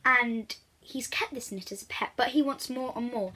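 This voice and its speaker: A woman reads a children's storybook aloud in a high, very bright voice. She is animated and expressive, talking directly to young listeners as she goes. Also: normal speed, breathy edge. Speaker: children's book reader.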